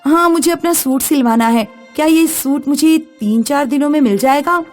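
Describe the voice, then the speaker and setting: A woman voices a young village wife in a cartoon horror tale. Her high voice is earnest and dramatic, frightened yet resolute. Music plays faintly behind her. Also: normal speed, close to an everyday voice. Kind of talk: animated horror story dialogue.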